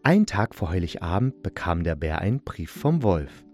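A man narrating a fairy tale as an expressive storyteller, reading from a script. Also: low voice, moderate pace, soft background music.

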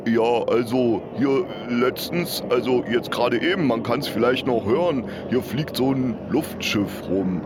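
A man playing a dim, unbothered field reporter who rambles haltingly in a parody live news report. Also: mid-range voice, lightly acted. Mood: rambling, unbothered. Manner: halting, rambling, simple-minded. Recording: noisy outdoor-style background sound.

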